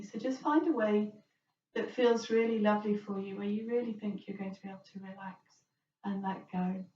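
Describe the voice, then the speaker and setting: Roomy, distant woman guiding a relaxation. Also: medium pitch. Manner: gentle, reassuring, permissive. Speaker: yoga relaxation teacher. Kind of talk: guided relaxation instruction. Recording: roomy, distant, dull, faint noise.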